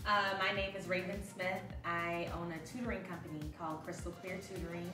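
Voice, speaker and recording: A woman from Chicago with a lowish voice states things plainly. She sits in a roomy living room with music behind her. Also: fairly even intonation, brisk, distant mic. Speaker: guest.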